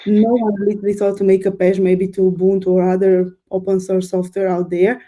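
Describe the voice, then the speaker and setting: Fast-talking Romanian-accented woman, dark tone. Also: mid-range voice, level tone. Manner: fast, eager storytelling with fillers. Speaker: guest. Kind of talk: casual interview chat.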